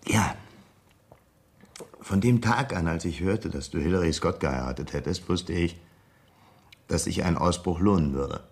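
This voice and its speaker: Radio thriller: escaped convict blackmailer, deep and husky, sardonic, controlled, quietly threatening, insistent on money. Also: male voice, a little slow, pauses often, heavily acted. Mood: quietly confident. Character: cool, menacing escaped convict demanding money. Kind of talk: German radio crime drama acting.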